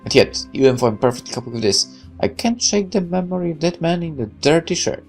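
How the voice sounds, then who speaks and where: A man's voice: on the high side, lively intonation, fast talker, creaky. Man reading a game aloud over music. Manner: stumbles over read lines, mutters asides. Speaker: gaming video maker. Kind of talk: reading a visual novel aloud with asides. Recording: music under the voice.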